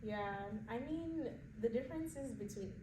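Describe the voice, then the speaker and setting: A young woman chats in an easy, rambling way, stringing loose phrases together. Her voice sounds distant and roomy, with clear background noise throughout. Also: a little high, measured pace. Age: young adult. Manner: relaxed, talks in loose run-on phrases with many "like"s. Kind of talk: casual chat.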